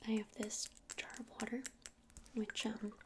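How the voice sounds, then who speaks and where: A woman whispering breathily and softly in an unhurried, hesitant ASMR roleplay as a gentle crystal shop witch, close to the microphone. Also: on the high side, level tone, noisy background. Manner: hesitant, unhurried, soft-spoken. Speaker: ASMR performer playing a witch shopkeeper.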